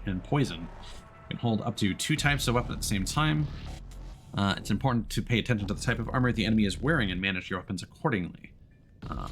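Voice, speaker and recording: A man streaming a video game, reading instructions aloud carefully and adding relaxed asides. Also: on the low side. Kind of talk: let's play commentary, reading on-screen text aloud. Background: game music.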